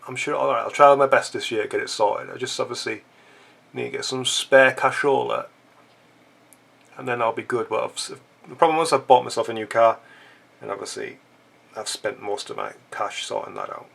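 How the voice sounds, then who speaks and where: Man, stuffy nose, husky rasp, chatty joking tutorial host. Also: mid-range voice, normal speed, fairly bright tone. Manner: chatty, joking asides while working. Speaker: fly-tying channel host. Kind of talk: casual tutorial chat.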